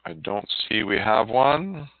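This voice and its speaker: A man giving a casual live software demonstration, chatty and informal, muttering to himself as he works. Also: lowish voice, lively intonation, takes it slowly, no room sound. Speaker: software demo presenter. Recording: narrow, phone-like line, dry.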